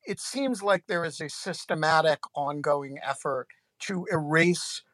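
A man hosting a podcast interview, talking haltingly with many restarts. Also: a little high, a bit bright, no echo. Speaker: podcast host.

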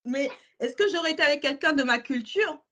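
A woman's voice: high voice, brisk, pushed and bright. A woman co-hosting a candid conversation, talking with emphatic repetitions and frequent self-corrections. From grew up in France, born abroad. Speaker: podcast co-host.

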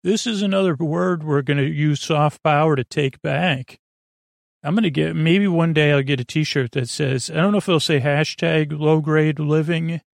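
A man hosting a sleep podcast in a rambling, halting, self-deprecating bedtime monologue full of tangents, with a creaky voice close to the mic. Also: no echo. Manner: self-deprecating, low-key.

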